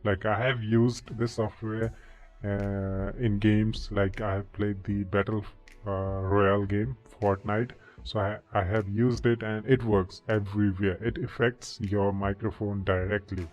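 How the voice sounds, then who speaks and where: A man giving a casual software tutorial, often tagging his sentences with okay. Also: on the low side, flat delivery, unhurried. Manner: repeats okay as a tag. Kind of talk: casual screen-recorded tutorial. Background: background music.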